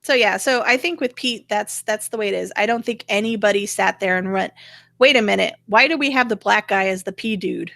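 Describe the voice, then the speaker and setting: A woman's voice: medium pitch, a little fast, vocal fry, bright, forceful tone, no echo. Opinionated woman host, dry close sound. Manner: explanatory, opinionated. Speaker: show host. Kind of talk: casual political conversation.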